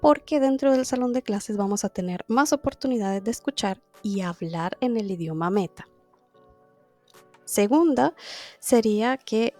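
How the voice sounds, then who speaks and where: A woman explains a language-learning lesson in a relaxed, conversational teaching manner, often posing a question and answering it herself. Her voice is warm and mellow, and faint music sits underneath. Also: on the high side.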